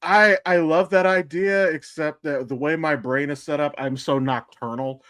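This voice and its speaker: A man's voice: on the high side, steady tone, moderate pace, fairly bright tone, dry recording. American man chatting, close dry sound. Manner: blunt, profane, self-deprecating. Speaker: podcast co-host. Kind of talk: casual chat.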